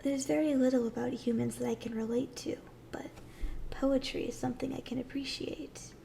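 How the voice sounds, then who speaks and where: A woman voicing a gentle, ancient willow tree spirit in a soft, breathy ASMR fantasy roleplay, tender, soothing and often close to a whisper. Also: high-pitched, fairly even intonation, normal speed, slight background noise. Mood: wistful, gentle.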